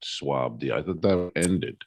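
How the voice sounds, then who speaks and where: A man's voice: extremely low pitch, slow, dry recording. A man co-hosting a comedy podcast from Napa, chatting with hesitant fillers and playful reactions as he searches for words. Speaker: podcast co-host. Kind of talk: casual comedic chat. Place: Napa, California, indoors.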